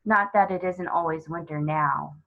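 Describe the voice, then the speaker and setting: A woman voicing a polite, melancholy male faun, gentle and hospitable, with courteous old-fashioned phrasing, in a storybook reading. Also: low voice, moderate pace, creaky, phone-quality sound.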